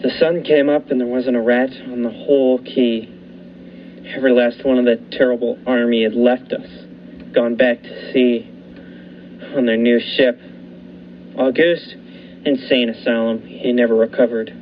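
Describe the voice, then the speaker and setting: A man playing a haunted lighthouse keeper who narrates a radio thriller with dramatic, deliberate pauses. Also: medium pitch, level tone, natural voice. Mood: grim, tense. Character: haunted lighthouse keeper recounting his ordeal. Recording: muffled lo-fi recording with faint noise.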